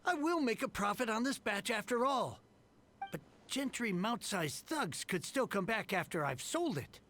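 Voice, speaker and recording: An actor playing a nervous, downtrodden trader in a game. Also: male voice, high-pitched, big pitch swings, normal speed, sharp tone, slight background noise, exaggerated voice. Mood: anxious. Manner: anxious, disbelieving. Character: nervous, downtrodden middle-aged male trader.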